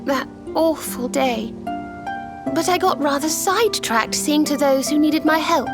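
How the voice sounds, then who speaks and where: A gentle young healer from a fantasy game, her voice light and high, unhurried and courteous. A harp-laced game score plays softly behind her. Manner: soft, courteous, slightly old-fashioned phrasing. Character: gentle young female healer, kind and polite. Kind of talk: video game cutscene dialogue. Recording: game score playing underneath.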